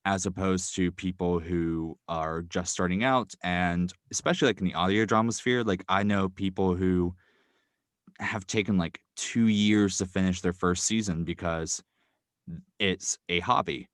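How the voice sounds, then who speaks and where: A young man, a guest writer, speaking casually and quickly with many fillers like "um" and "like.". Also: low voice, steady tone, dry recording, studio quality. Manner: quick, filler-heavy, thoughtful. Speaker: guest, audio drama writer. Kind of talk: casual interview talk.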